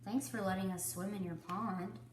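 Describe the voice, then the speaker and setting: A woman's voice: mid-range voice, steady tone, normal speed, echoey room, a little hiss or hum, close to an everyday voice. A woman voicing a polite, eager little chick in a children's storybook, courteous and chirpy. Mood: apologetic.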